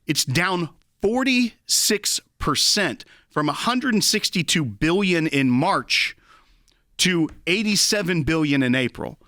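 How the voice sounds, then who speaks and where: A man's voice: a little high, lively intonation, slow pace, sharp tone, no echo, studio quality. A man hosting a talk show, giving emphatic, conversational political commentary. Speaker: host.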